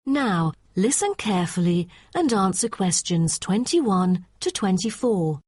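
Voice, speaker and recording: Woman, exam announcer, unhurried, formal, animated pitch, scripted instructions, dry studio-like sound. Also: husky, dry recording. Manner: measured, formal. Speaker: test announcer.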